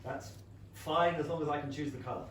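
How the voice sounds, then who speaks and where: Man as courteous English gentleman, very echoey. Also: fairly high pitch, steady tone, close to an everyday voice. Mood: genial. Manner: polite, measured, dry. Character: courteous, drily humorous English period gentleman. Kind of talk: theatrical period comedy stage reading. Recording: very echoey distant theatre recording, noisy.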